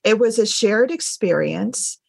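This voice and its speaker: A middle-aged woman interviews in a casual, conversational way. Her voice is husky, with plenty of vocal fry, at an ordinary pitch for a woman. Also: animated, a little slow, no echo. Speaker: interviewer, homeschooling mother.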